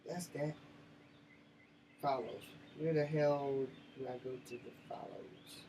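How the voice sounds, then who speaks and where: A man's voice: mid-range voice, slow pace, warm tone. An American man in his fifties chatting casually to viewers in a rambling, profane, slangy way. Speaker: host of his own vlog. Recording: noisy, distant-sounding mic with room ambience.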